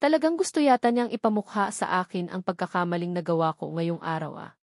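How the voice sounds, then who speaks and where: A woman's voice: fairly low pitch, fairly quick, a little mellow, dry recording. Woman narrating a story, close dry sound. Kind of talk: scripted first-person audiobook narration.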